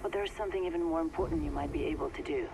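An actor playing a war-weary military officer in a video game, heard over a radio link. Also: male voice, on the high side, rapid-fire, vocal fry. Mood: amazed, weary. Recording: noisy radio call with vehicle ambience.

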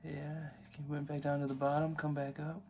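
A young man chatting casually with his friend while ice fishing, relaxed with short replies, in a small wooden fish house. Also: fairly high pitch, normal speed, creaky, slight background noise. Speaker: fishing buddy. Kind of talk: casual chat.

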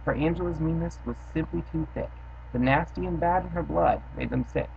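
A man's voice: fairly high pitch, fairly quick, vocal fry. Young man reading rhymes on a webcam. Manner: casual, offhand chat with fillers, then steady rhythmic reading. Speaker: host reading children's poems. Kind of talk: reading a rhyming poem aloud. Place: bedroom, indoors. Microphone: built-in webcam mic. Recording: dull lo-fi webcam sound with faint hiss.

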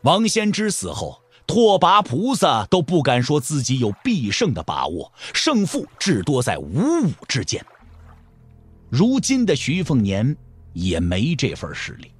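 A man's voice: fairly high pitch, breathy edge. A man narrating a martial arts novel for an audio drama in a dramatic storytelling style.

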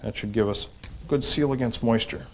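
Male how-to host, mid-pitched, calm demonstrator voice, walks through each step plainly. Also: normal speed, rough recording. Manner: plain, steady explaining while demonstrating. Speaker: home improvement host.

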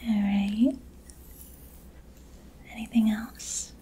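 A young woman whispering softly and gently asking questions as a barber in an ASMR haircut roleplay, recorded close to the mic in a small room. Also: medium pitch, flat delivery, drawn-out words, a little hiss or hum. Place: small decorated indoor room. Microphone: close studio mic in front.

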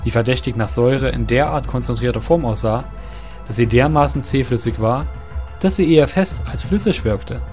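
A man tells a fantasy story as its narrator. His voice sits at a middle pitch and his phrasing is formal and bookish. Quiet music runs underneath. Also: moderate pace.

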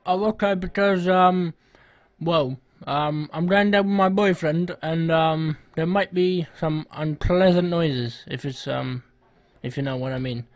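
A man making a prank phone enquiry in a hesitant, halting, polite way. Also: a little high, steady tone, dry recording. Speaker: prank caller.

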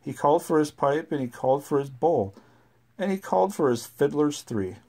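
A parent with a relaxed, sing-song way of reading nursery rhymes aloud and chatting with a small child at home. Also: medium pitch, moderate pace, slightly rough.

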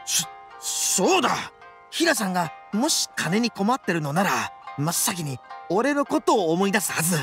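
Brash, gravelly, high-pitched oni boss. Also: male voice, a bit bright, exaggerated voice. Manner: cocky, rough slangy speech. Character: brash, dim, boastful young male oni gang boss. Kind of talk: video game voice acting.

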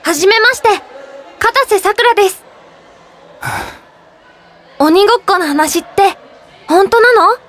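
A teenage schoolgirl in an anime-style audio drama. The voice is extremely high and animated, with a forthright delivery. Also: takes it slowly, long pauses, full character voice. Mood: friendly.

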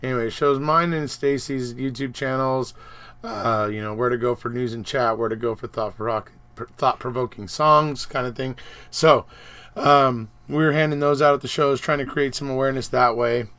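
A slightly hoarse man in his forties, a channel host, rambling casually through a trip recap with many fillers, filmed on a webcam in his home office. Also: medium pitch, fairly even intonation, normal speed, a bit bright. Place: home office room with posters.

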